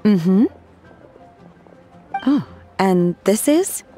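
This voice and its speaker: A woman playing an earnest, stoic young disciple in a video game, polite and literal, with a measured deadpan delivery. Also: medium pitch, soft background music. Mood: polite, flat.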